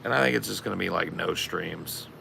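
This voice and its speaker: A man with a strained, raspy voice chatting casually on a stream, rambling and swearing a lot. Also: low-pitched, fairly quick, background noise. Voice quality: gravelly. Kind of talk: casual chat.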